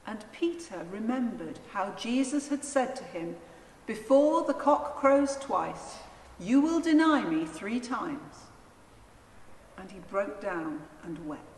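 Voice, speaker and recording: A woman narrating a dramatised scripture reading in a solemn, deliberate manner. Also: medium pitch, sing-song. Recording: echoey church, long reverb, faint noise.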